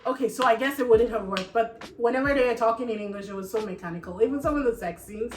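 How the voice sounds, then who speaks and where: A woman's voice: on the high side, fast. Chatty woman reviewing movies, echoey room. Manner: chatty and animated, laughs often. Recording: echoey room with faint music.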